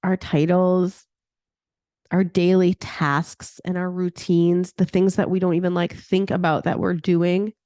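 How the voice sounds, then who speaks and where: A woman's voice: on the low side, little pitch movement, unhurried, dark tone, dry recording. Chatty woman podcast host, close and dry. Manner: chatty, laughs often, fillers like "like". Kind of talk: casual solo podcast talk.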